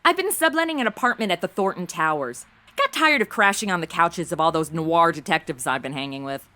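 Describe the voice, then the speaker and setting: A woman's voice: fairly low pitch, animated, brisk, sharp tone. An actress playing a brash, self-absorbed, ditzy young modern woman with sarcastic, slangy delivery in an audio comedy. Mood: sarcastic, breezy. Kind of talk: comedic audio drama acting.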